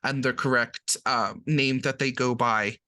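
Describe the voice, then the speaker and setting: Bright-voiced man in casual chat. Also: mid-range voice, steady tone, measured pace, no echo. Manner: explanatory, with frequent ums and restarts. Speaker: podcast co-host explaining terms.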